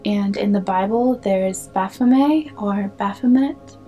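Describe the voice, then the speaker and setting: A young woman hosting a film analysis video, chatty with playful asides, slightly hoarse from losing her voice. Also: mid-range voice, measured pace, highs cut off, soft background music. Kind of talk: film analysis talk to camera.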